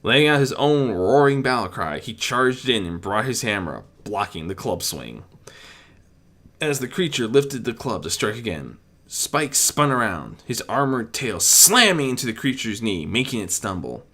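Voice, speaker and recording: Bright-voiced man narrating a fantasy story. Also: mid-range voice, sing-song, moderate pace. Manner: amateur reading with occasional stumbles and self-corrections. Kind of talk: scripted dramatic narration of fan fiction.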